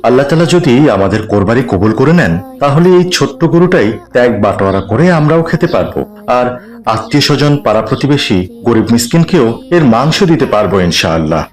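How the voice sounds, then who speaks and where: A man's voice: mid-range voice, big pitch swings, brisk, close to an everyday voice. A man playing a pious, patient, reasonable adult man in a cartoon. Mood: earnest, patient. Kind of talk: animated cartoon dialogue.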